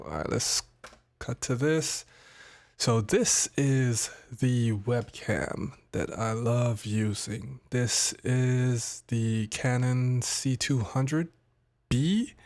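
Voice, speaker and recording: Chill guy on a livestream with a bit of a cold, kinda nasal, takes his time, mid-pitched voice. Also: creaky. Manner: laid-back, chatty, pauses to fiddle with gear. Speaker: tech streamer. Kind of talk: casual live stream walkthrough. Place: home streaming setup, indoors. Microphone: studio mic on desk.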